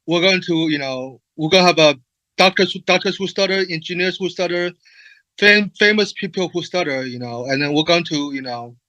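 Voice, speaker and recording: Bright-voiced man who stutters. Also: a little high, moderate pace, no echo, dull audio. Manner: stutters, heavy fillers and repeated words. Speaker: presenter and moderator. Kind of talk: online conference talk.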